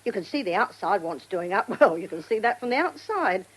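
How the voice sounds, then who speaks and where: An actress playing a talkative, inquisitive servant from the manor in a radio drama, with a working-class southern English accent. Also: female voice, medium pitch, big pitch swings, fairly quick, breathy edge, low-quality recording. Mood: prying. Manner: inquisitive, gossipy, rambling.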